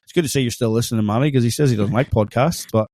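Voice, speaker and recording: Fast-talking joking man with a thick accent. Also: mid-range voice, mellow, no echo, studio quality. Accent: thick non-Australian accent. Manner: chatty, teasing, laughs often. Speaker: podcast co-host. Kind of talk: casual podcast chat.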